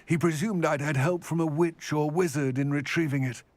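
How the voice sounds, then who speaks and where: A man's voice: a little high, steady tone, normal speed, a little mellow, dry recording, natural voice. An actor voicing a proud, wary male goblin in a video game, speaking in a formal, grave, old-fashioned way. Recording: dry studio game dialogue.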